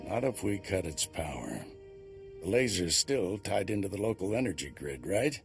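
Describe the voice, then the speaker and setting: A seasoned-sounding game hero, a Jedi with a very low, creaky voice. He gives orders decisively with a dry wit, and music plays clearly behind him. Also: sing-song, normal speed, exaggerated voice. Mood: resolute. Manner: commanding, dry. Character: gruff, decisive male Jedi ally, dryly humorous. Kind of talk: video game cutscene acting.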